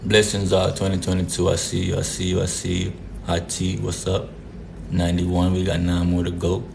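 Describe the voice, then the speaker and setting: A man's voice: low-pitched, monotone, moderate pace, creaky, background noise. A man from Jamaica hosting a casual live stream, greeting viewers by name in a laid-back, repetitive way. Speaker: livestream host.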